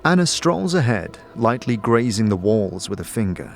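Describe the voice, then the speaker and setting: A man narrates a dramatic fantasy tale in a low voice that moves expressively up and down. He describes each scene like a storyteller, with music playing faintly behind him. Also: sing-song, normal speed, creaky. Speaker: narrator and game master of an audio drama. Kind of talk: scripted second-person fantasy narration.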